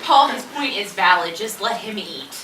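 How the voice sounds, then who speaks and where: A woman's voice: mid-range voice, expressive, normal speed, lightly acted. A woman playing a practical, exasperated peacemaker among zombie-outbreak survivors in an amateur comic stage play. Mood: reasoning. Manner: reasoning, bossy, exasperated. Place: indoor stage performance, recorded from afar. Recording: distant, roomy stage recording, loud noise.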